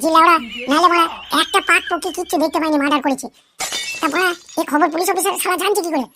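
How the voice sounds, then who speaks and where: A man's voice: very high voice, steady tone, very fast, noisy background. A performer voicing a man, a foul-mouthed, fawning gangster henchman in a comic cartoon, rambling and boastful. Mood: puzzled, complaining. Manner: rambling, boastful, wheedling, swearing constantly. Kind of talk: comic cartoon dialogue in crude slang.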